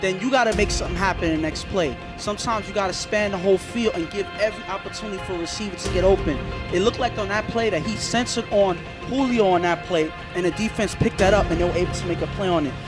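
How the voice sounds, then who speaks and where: A man co-hosts a local football talk show, breaking down strategy in an earnest, building way. His voice sits high, and faint music plays behind him. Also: quick pace, hardly any pauses. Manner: earnest, repeats words while building a point. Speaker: co-host, sports analyst. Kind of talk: casual sports analysis.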